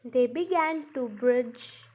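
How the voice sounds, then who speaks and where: A woman narrating a children's story from a script, in deliberate, halting phrases with pauses. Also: sits high, darkish tone, soft background music. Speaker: storyteller narrating a puppet show.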